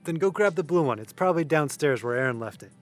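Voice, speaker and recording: A man's voice: fairly high pitch, animated, fast talker, lightly acted. A man playing a level-headed young housemate who takes charge, in a naturalistic audio drama with casual delivery. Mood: laid-back. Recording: music under the dialogue. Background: music.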